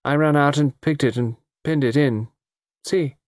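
A man's voice: on the high side, unhurried, dry recording, studio quality, voice pushed up, lightly acted. A man voicing a cool, haughty young woman who answers curtly. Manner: cool, curt, clipped replies. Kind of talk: audiobook character voice.

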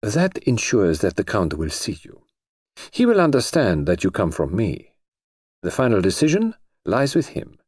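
A man voicing a brisk, mysterious foreign gentleman who speaks in crisp, clipped, formal phrases. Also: lowish voice, wildly swooping pitch, no room sound, clean studio sound, exaggerated voice.

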